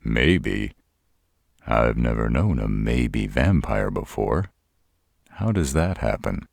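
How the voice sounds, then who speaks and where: Man voicing a very deep, gravelly old father. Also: no echo, clean studio sound, full character voice. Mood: dry, stern. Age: older. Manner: slow, measured, deadpan. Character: gruff old father of a werebear's mate, protective, dryly stern. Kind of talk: roleplay audio acting.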